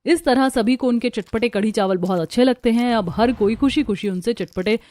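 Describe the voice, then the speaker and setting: A woman's voice: a little high, normal speed, a little mellow. A woman reading a scripted story as its narrator.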